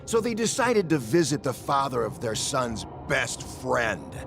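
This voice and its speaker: Blustering game patriarch, middle-aged, scheming, gravelly, wildly animated, theatrical. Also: male voice, medium pitch, music in the background. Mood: calmer, smug. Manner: theatrical, blustering, drawn-out emphasis. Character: scheming, bombastic middle-aged businessman father, ruthlessly proud. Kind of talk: video game voice acting.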